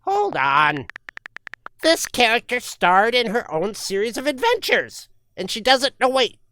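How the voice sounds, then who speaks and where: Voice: medium pitch, huge pitch swings, a little slow, pushed and bright, full character voice. An actor playing a fussy, self-conscious Cupid who gripes in an exasperated, muttering and blurting way. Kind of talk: comic backstage griping.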